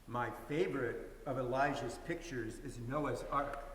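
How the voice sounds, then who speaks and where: A man's voice: medium pitch, unhurried, fairly bright tone, far from the mic, lightly acted. A man playing storybook parts in a plain, earnest dramatic reading. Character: storybook narrator sharing parts of boy and carver. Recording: echoey hall from afar, faint hiss.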